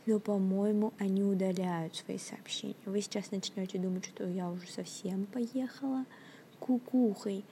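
A young woman muses into her phone from her workplace, drifting between normal speech and a half-whisper as she hesitates and restarts. Her voice is soft and mellow at a middling pitch. A faint low rumble sits underneath. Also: steady tone, normal speed. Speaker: podcast host. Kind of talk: casual rambling monologue.

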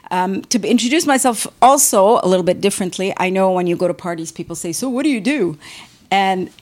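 A woman's voice: mid-range voice, lively intonation, fairly quick, a little mellow. A middle-aged woman from Lebanon, a professor and artist, speaking reflectively as an interview guest and often repeating words as she searches for phrasing.

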